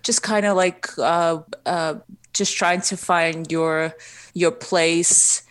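A woman from Ukraine with Russian as her first language talks in a low voice. She speaks haltingly, full of fillers and restarts, as a guest on a phone interview. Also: fairly even intonation, clear, resonant tone. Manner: hesitant, many fillers and restarts, laughs often. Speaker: singer-songwriter guest. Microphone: phone line.

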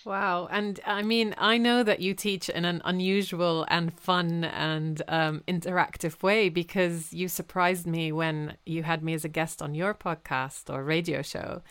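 A woman hosts an interview with friendly, animated enthusiasm. Her voice is smooth and clear, a little low for a woman. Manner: friendly, animated, quick to laugh. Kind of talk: conversational interview.

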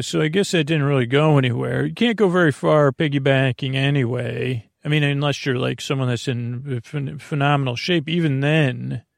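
Creaky-voiced man rambling soothingly. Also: medium pitch, no room sound, clean studio sound. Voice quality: creaky, gravelly. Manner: halting and meandering, with frequent tangents and self-corrections; gently silly. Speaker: podcast host. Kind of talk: rambling, soothing bedtime monologue.

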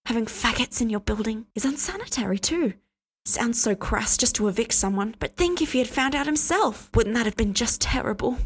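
A woman's voice: on the high side, lively intonation, a little fast, slightly rough, voice pushed up. A woman reading a story aloud, voicing a pious, controlling woman who talks in a sweet, syrupy, condescending way. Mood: sugary.

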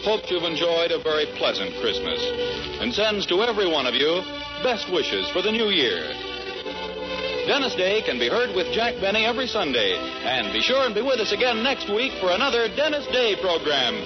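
A man's voice: high-pitched, big pitch swings, normal speed, muffled recording, over loud music. A male radio announcer giving a formal 1940s broadcast sign-off. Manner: bright, formal, emphatic sign-off.

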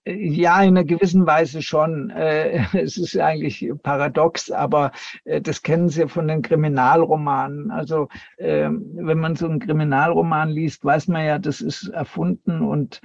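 A man's voice: on the high side, fairly even intonation, normal speed, creaky, no room sound, highs cut off. A man giving explanatory, hesitant interview answers as an expert guest. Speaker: expert guest, paranormal researcher and counselor.

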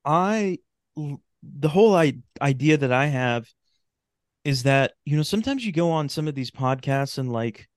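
A man's voice: fairly high pitch, expressive, a little slow, dry recording. Male podcast guest, clean resonant voice, dry sound, casual and rambling delivery. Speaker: podcast guest, talk show host and radio drama maker. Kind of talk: casual interview chat.

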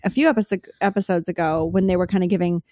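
A woman in her thirties chats with a friend on a podcast. Her voice sits a bit low, and she tells stories eagerly, with plenty of "like." The sound is dry and close, with no room in it. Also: fast, dry recording. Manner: chatty, animated storytelling with many "like" fillers. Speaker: podcast co-host. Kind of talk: casual chat.

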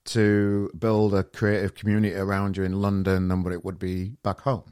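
Male host, low voice, dry close sound, casual, repeats words when restarting. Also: monotone, normal speed, a little mellow, clear, resonant tone, no room sound. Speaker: podcast host. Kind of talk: casual podcast interview.

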